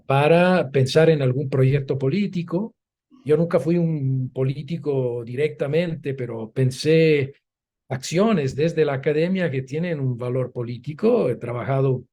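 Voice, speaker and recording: A man's voice: medium pitch, dark tone. An older man from Italy, native Italian speaker, answering interview questions in a thoughtful, hesitant way with frequent restarts. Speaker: guest academic.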